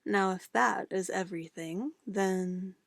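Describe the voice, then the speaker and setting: A woman's voice: medium pitch, takes it slowly, gravelly, no echo, studio quality. A woman playing an obsessive, possessive witch in an ASMR roleplay, sweetly menacing and cooing in an intimate, theatrical way. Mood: patient, menacing. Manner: intimate, cooing, theatrical, shifting between soft whispers and firm commands.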